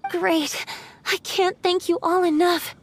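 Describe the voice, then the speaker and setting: An actress playing a gentle, wistful female water spirit in a video game, speaking in a soft, earnest, lyrical way. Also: very high-pitched, fairly even intonation, slow, slight background noise, exaggerated voice. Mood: hopeful, resolved. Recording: music behind the voice.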